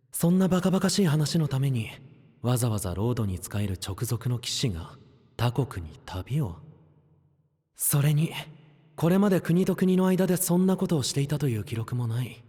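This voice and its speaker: A man playing a friendly but shrewd, composed young knight in an anime voice drama, speaking softly and politely. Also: mid-range voice, breathy edge, no echo, studio quality. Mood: suspicious, calculating. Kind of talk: Japanese anime voice drama acting.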